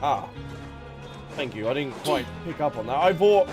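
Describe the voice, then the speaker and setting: A man's voice: medium pitch, animated, moderate pace, music in the background. A man casually commentating as he live-streams a video game.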